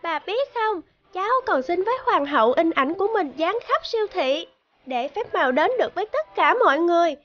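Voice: very high-pitched, expressive, fairly quick, fairly bright tone, highs cut off. An actor voicing a cheerful, imaginative little girl playing a princess in a cartoon, polite and eager as she tells her tales. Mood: earnest, proud. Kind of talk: animated cartoon dialogue.

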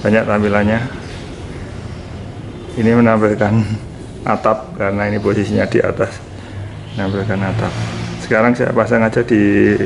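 A man's voice: on the low side, creaky. A man giving a casual hands-on product demonstration, repeating short phrases as he goes. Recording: noticeable background noise.

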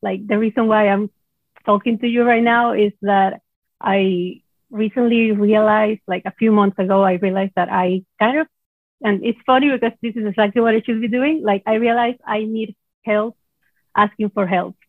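A woman from Venezuela, a native Spanish speaker, chatting casually and haltingly as a self-conscious coaching client. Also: mid-range voice, fairly even intonation, no room sound. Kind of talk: casual personal chat. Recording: muffled, dry remote-call sound.

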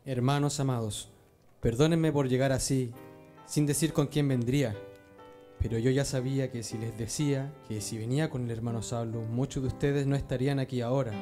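Man doing earnest bible disciple in radio play, mellow mid voice, persuasive, music behind. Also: medium pitch, steady tone, fairly quick, natural voice. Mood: earnest, reassuring. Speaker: radio drama actor. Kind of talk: amateur religious radio drama.

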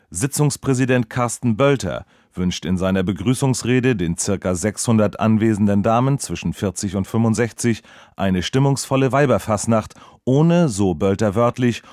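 An actor playing a dutiful, deadpan local radio reporter delivering formal, wordy parody news reportage. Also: male voice, lowish voice, dry recording, clean studio sound, close to an everyday voice. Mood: solemn, deadpan.

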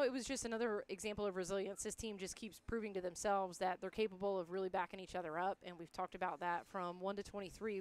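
Quick-talking, clear-voiced woman coach. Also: medium pitch. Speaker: college softball head coach. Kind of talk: postgame interview. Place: indoors in front of a backdrop. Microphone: headset.

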